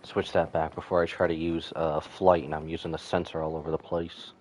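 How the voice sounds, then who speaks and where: A man's voice: extremely low pitch, level tone, a little fast, a little mellow. A man livestreaming a game, reacting with playful mock drama and baby talk. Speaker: game streamer. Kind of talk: live gameplay reaction commentary.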